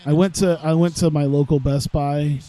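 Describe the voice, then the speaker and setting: A man's voice: a little high, fairly even intonation, normal speed, dark tone. A man chatting casually while playing a video game at his computer, rambling with lots of fillers, stuffed up from his sinuses. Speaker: gaming YouTuber. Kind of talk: casual gameplay commentary. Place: home room at his computer.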